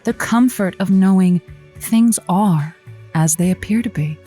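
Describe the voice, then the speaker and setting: A woman's voice: medium pitch, measured pace, mellow, faint music behind, lightly acted. A woman playing a flirty, poised late-night romance advice host in a scripted audio comedy, theatrical and coy. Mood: smooth, seductive. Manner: theatrical, coy, playful wordplay.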